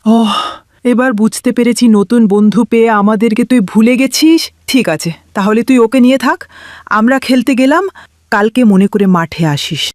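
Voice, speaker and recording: A woman's voice: a little high, normal speed, darkish tone, dry recording, studio quality, lightly acted. Woman playing a frank, earnest child friend. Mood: miffed. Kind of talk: cartoon voice acting.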